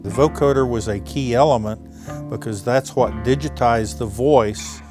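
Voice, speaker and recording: A man in his seventies, reminiscing and explaining in a plain-spoken way in an interview. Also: medium pitch. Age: 70s. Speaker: interviewed engineer. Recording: music behind the voice. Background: guitar music.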